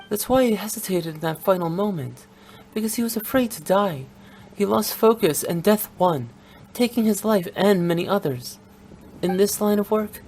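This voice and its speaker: A woman's voice: on the low side, a little mellow, a little hiss or hum, close to an everyday voice, low-quality recording. A woman playing a young female funeral director in a video game, playful yet blunt and unsentimental, speaking in a frank, matter-of-fact way. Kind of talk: scripted English video game voice acting.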